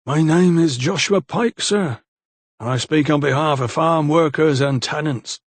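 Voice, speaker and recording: A man voicing an insolent, menacing young male troublemaker who speaks calmly with a smirk. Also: fairly high pitch, unhurried, no room sound, voice pushed up. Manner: calm, smirking, impudent.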